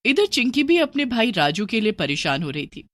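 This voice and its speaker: A woman's voice: fairly high pitch, sing-song, moderate pace, no room sound. A woman narrating a scripted horror story for a cartoon, in a storyteller's style.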